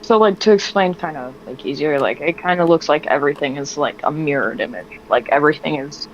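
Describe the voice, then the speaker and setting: A woman's voice: low-pitched, a little fast. A woman chatting casually as a player in a tabletop game. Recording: dull lo-fi mic, faint noise.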